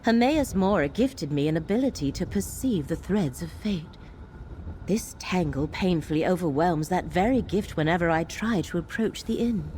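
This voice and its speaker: A grave mystical seer from a fantasy game, her voice on the low side. She speaks in solemn, measured, formal phrases with a commanding, portentous weight. There is clear noise behind her. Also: dark tone. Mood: grave, urgent. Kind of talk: scripted fantasy video game dialogue.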